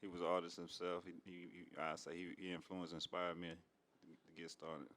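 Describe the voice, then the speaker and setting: A man from Louisiana, a guest artist talking casually in a slow, low-key way with some stumbles. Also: little pitch movement, fairly bright tone. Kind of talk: casual interview chat.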